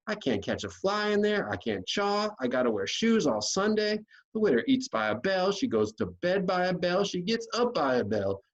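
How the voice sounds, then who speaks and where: Man acting as untamed country boy, drawl, pitched up, plaintive, rough-spoken, storybook character, slightly muffled sound.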